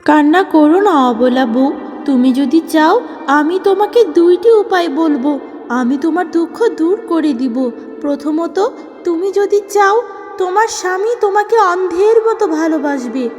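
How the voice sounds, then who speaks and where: Woman acting as a kindly water fairy, high voice, solemn, consoling, fairy-tale cartoon, faint music. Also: animated, normal speed, darkish tone, in a large hall. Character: kindly female river water fairy granting wishes.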